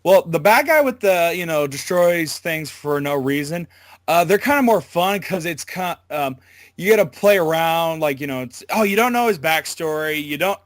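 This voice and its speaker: A man giving a casual interview as a voice actor, talking in a halting, loosely worded way. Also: fairly high pitch, expressive, dry recording.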